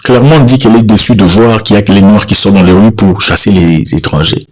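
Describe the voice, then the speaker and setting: A man's voice: on the low side, brisk. A man giving spoken commentary on news clips, hesitant and repeating words. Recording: music bed behind voice.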